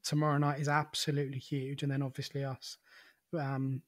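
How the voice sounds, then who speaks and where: A man co-hosting a football podcast, talking in a relaxed, conversational way with frequent "you know"s and restarts. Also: mid-range voice, a little fast. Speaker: podcast co-host. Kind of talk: casual football podcast chat.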